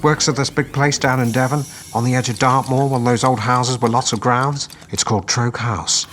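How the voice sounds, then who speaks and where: A man's voice: mid-range voice, steady tone, normal speed, fairly bright tone, a little hiss or hum, natural voice. A man acting a loyal, matey army sergeant in an audio drama, speaking informally and earnestly. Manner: informal, plain-spoken, earnest.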